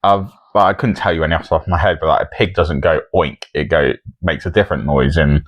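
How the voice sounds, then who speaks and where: A man's voice: a bass voice, fairly even intonation, fast talker, no echo. A man co-hosting a comedy podcast, chatting casually and keeping score. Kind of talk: casual comedy panel chat.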